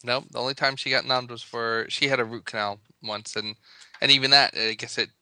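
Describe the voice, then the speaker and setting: A man's voice: mid-range voice, steady tone, fairly quick, pushed and bright, clear, resonant tone, dry recording. A man talking in a casual, conversational way as he chats with a co-host. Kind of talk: casual chat.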